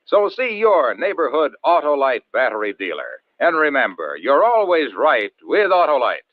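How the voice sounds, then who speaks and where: A cheerful, boastful radio salesman of the mid-century. His voice is fairly high and very lively. The sound is tinny, like an old broadcast. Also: moderate pace. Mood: upbeat selling. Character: eager, boastful battery pitchman, cheerfully polished. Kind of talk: 1940s-50s radio commercial patter. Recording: tinny, dry vintage radio recording.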